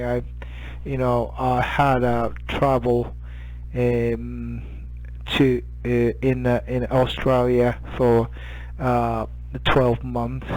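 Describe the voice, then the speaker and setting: A man's voice: mid-range voice, a little mellow, no echo, poor audio. A man in his fifties with a Japanese accent, chatting casually as an amateur radio operator, hesitant and searching for words. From Hokkaido, Japan. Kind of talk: casual radio contact chat.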